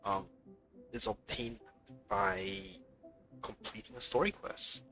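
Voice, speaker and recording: A man's voice: lowish voice, slow pace, music in the background. A man giving casual live commentary as he plays a video game. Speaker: game streamer.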